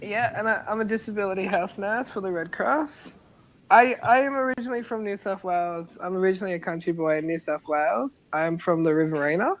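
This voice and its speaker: A man's voice: high voice, fairly quick, highs cut off, a little hiss or hum. Chatty Australian man on a video call. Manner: friendly, chatty and loosely phrased. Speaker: guest on a live video chat. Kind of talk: casual chat.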